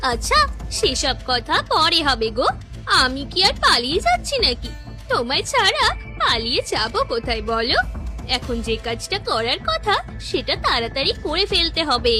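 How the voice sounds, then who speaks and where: A woman's voice: high-pitched, very animated, normal speed, pushed and bright, soft background music, full character voice. A woman voicing a discontented, scheming young wife in a cartoon story, coaxing and complaining in a coy way. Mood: scheming, urgent. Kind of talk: animated cartoon story dialogue.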